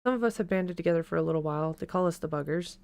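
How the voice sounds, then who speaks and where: A woman reading the part of an anxious young male street orphan, earnest and pleading, a little louder than her narration. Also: lowish voice, racing, creaky, no echo, clean studio sound.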